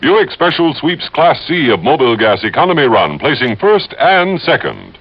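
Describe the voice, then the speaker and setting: Animated vintage radio pitchman, male. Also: normal speed, slightly rough, soft background music. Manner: polished, emphatic salesmanship. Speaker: radio commercial announcer. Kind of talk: scripted old-time radio advertisement. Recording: dull old radio recording.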